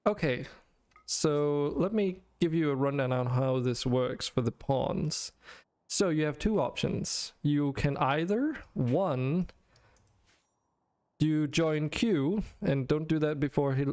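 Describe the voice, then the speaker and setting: A man's voice: a little high, darkish tone, smooth. A young Danish man chatting to his viewers while streaming a game, rambling with many fillers and restarts. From Denmark. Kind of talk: casual game stream talk to chat.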